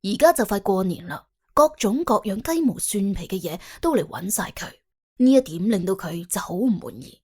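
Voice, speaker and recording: A woman narrates a comic crime story in an expressive, conversational storytelling style. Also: mid-range voice, normal speed, breathy edge. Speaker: audiobook narrator and host.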